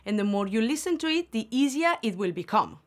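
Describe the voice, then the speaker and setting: A woman hosting a beginner Spanish lesson, saying each word slowly and clearly, then repeating it for learners. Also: on the high side, big pitch swings. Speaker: Spanish teacher, channel host.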